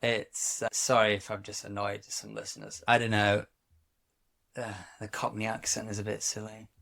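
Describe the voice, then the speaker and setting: A man from Wales co-hosting a podcast, talking reflectively in a casual chat, often pausing and restarting his sentences. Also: on the low side, completely flat delivery, gravelly, a bit bright. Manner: reflective, halting, many restarts. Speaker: podcast co-host.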